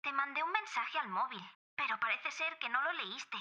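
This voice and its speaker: A woman's voice: sits high, expressive, normal speed, bright, forceful tone, heavily acted. A woman playing an irritated young daughter defending herself to her father in an audio drama. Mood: annoyed, defensive.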